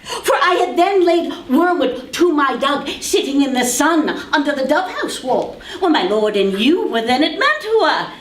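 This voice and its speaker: A woman voicing a garrulous old nursemaid, chuckling and wandering from thought to thought. Her high voice rises and falls slowly, heard at a distance in an echoing room with faint noise. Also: very animated. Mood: rambling. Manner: rambling, chuckling, swinging between tender and loud. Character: old talkative nursemaid, rambling and fond. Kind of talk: stage acting of verse drama.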